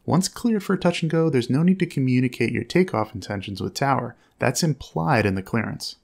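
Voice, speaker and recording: Male instructor, mid pitch with creaky edge, measured explanatory narration. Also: lively intonation, darkish tone. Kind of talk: explanatory commentary on air traffic audio.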